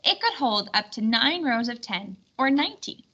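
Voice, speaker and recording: A woman reading a children's storybook aloud in an expressive, clearly instructional way. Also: fairly high pitch, moderate pace, lo-fi sound. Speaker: storybook reader.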